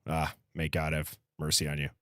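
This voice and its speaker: Low-voiced American man, casual livestream host. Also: monotone, moderate pace, bright, forceful tone, no room sound, clean studio sound. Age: early 30s. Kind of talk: casual live-stream chat, reading out viewer comments.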